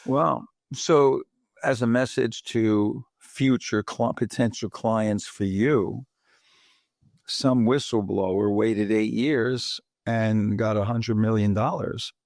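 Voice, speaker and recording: A man's voice: fairly low pitch, steady tone, takes it slowly, vocal fry, darkish tone, no room sound, studio quality. A man from the Bronx, hosting an interview podcast in a chatty way, often asking "right?" to draw agreement. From Bronx, New York. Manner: chatty, asks leading questions with frequent "right?". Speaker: podcast host. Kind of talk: conversational interview.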